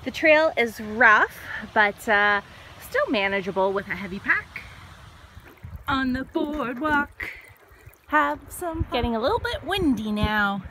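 Slow, high-voiced woman vlogging outdoors. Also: lively intonation, pushed and bright, slight background noise. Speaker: hiker vlogger. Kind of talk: casual trail vlog narration to camera. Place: outdoors on mountain trail, sometimes inside hut. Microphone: handheld phone at arm's length.

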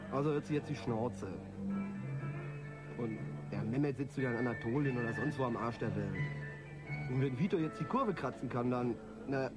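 An actor playing a bossy yet jittery small-time crook in a radio crime drama, talking in colloquial slang. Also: male voice, mid-range voice, lightly acted.

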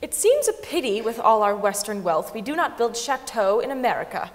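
A woman with a fairly high voice gives a staged dramatic reading. Long concert hall reverb trails her words. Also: sing-song, brisk. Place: large concert hall stage before an audience.

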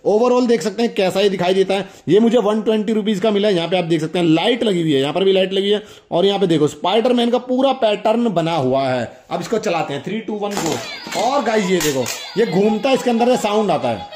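Fast, high-pitched young man. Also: animated, a little mellow, a little hiss or hum. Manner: chatty, demonstrative, often addresses viewers. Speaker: toy review host. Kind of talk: casual hands-on toy unboxing commentary.

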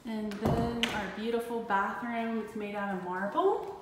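A middle-aged woman giving a slow, plain walkthrough of an empty townhouse, filmed on a handheld phone. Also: on the high side, heavy background noise. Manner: slow, plain, pausing between rooms. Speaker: parent giving a house tour. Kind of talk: casual walkthrough narration. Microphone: phone camera held while walking. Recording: echoey empty rooms with long reverb.

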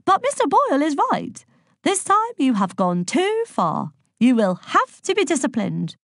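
A woman's voice: high voice, wildly swooping pitch, a little slow, vocal fry, fairly bright tone, no echo, studio quality, voice pushed up, exaggerated voice. Woman as a timid, dithering male headmaster. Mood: nervous, apologetic. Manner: hesitant, stammering, apologetic.

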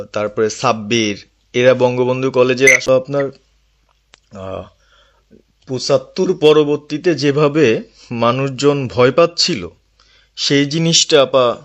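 A man reports to his leader on a phone call, speaking deferentially and a little hesitantly. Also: medium pitch. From Bangladesh. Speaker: local party activist. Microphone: telephone.

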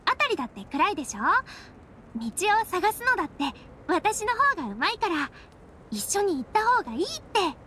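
A perky young scout girl with a very high, bright, girlish voice and lively swings in pitch. She sounds eager, loyal and friendly, in anime game style. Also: moderate pace, slight background noise, heavily acted. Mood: friendly concern. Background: background music.

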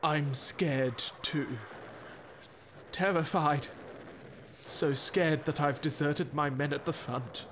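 Man acting weary WWI officer, fatherly, measured, slow delivery, audio drama, faint artillery behind. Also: a little high, fairly even intonation. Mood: confessing fear. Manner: measured, reassuring, formal. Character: war-weary, fearful but fatherly army captain. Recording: faint battlefield noise behind. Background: artillery fire and battlefield ambience.

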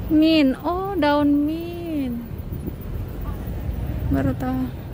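A woman's voice: sits high, very slow. A woman from Indonesia walking around a rooftop garden, recording on her phone and chatting curiously as she keeps asking what each plant is. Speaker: vlogger. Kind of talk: casual walking tour commentary. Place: outdoor rooftop garden in a city. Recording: noisy outdoor city ambience. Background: city ambience and passing traffic.

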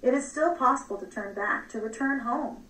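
Bright female teacher reading aloud, echoey. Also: high-pitched, moderate pace, a little hiss or hum. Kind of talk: children's book read-aloud. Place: small room with bookshelves. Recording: echoey room, distant mic, replayed video.

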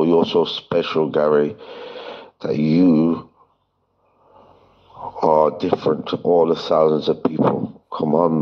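A man's voice: rumbling low, husky, dull audio. A man leaving a voice message, scolding and belittling the listener in a repetitive way, heard as a played-back voice note. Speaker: land seller. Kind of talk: voice message to a customer.

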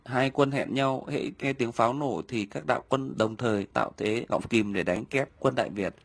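Frightened prisoner of war, pleading, submissive, medium pitch, dry recording, animated war film. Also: male voice, normal speed, vocal fry, no echo. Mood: submissive, confessing. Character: frightened captured male enemy spy begging for mercy. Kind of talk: animated historical film dialogue.

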